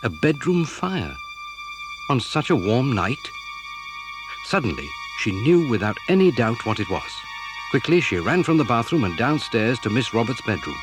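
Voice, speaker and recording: A man's voice: medium pitch, sing-song, normal speed, music in the background, poor audio. A man with an English accent reading scripted storybook narration for a children's audio drama.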